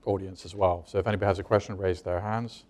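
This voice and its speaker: A man moderating a panel, briskly calling on questioners and wrapping up. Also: low voice. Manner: brisk and flat.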